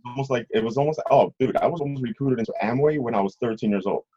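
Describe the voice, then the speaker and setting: A middle-aged man telling stories casually as an interview guest, husky, rambling and often checking in with the listener. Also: mid-range voice, fast, dark tone. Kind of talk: casual conversational storytelling. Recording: slightly dull, lo-fi audio.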